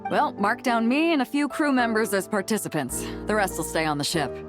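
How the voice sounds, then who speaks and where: Actress as hearty young woman sea captain, game role, mid-pitched, cocky ease, music underneath. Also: sing-song, fairly quick, close to an everyday voice. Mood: proud. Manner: breezy, confident, colloquial. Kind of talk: video game character voice acting. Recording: music playing behind.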